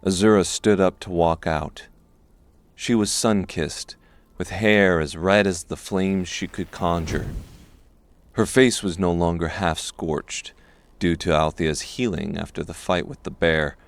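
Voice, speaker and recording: Male narrator for dark fantasy: very low-pitched voice, scripted storytelling, dry studio-close sound. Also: steady tone, measured pace, dry recording. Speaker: audiobook narrator.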